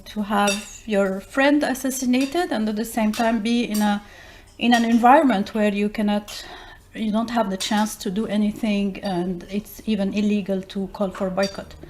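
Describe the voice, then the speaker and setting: A woman from Palestine asking a question in an online webinar, speaking haltingly with many pauses and restarts over a video call. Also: medium pitch, a little mellow, slight background noise. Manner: hesitant, halting, full of fillers and restarts. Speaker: audience member asking a question.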